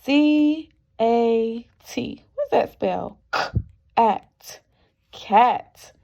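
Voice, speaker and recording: A woman teacher reading a picture book aloud to young children, expressive and encouraging, often asking the listeners questions. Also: a little high, very slow, gravelly. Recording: dull, muffled lo-fi sound.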